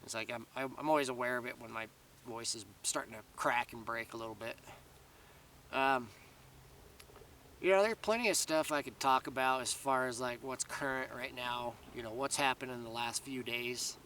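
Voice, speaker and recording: A man rambling casually to camera in a vlog, full of fillers and restarts, his voice slightly raspy. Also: mid-range voice, normal speed, sharp tone, distant mic, slight background noise. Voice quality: slightly raspy after long talking. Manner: meandering, many fillers and restarts. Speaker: vlogger.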